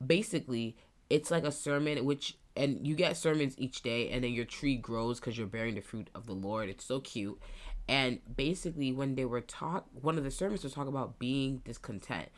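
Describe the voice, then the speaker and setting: A young woman in her twenties with a stuffy nose, hosting a casual solo podcast and thinking out loud as she rambles. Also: rumbling low, moderate pace. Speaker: podcast host.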